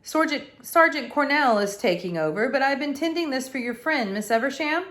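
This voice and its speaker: A woman's voice: high voice, animated, moderate pace, a bit bright, voice pushed up. Brief: woman voicing an offhand, dismissive male country policeman for a storybook reading, middle-aged.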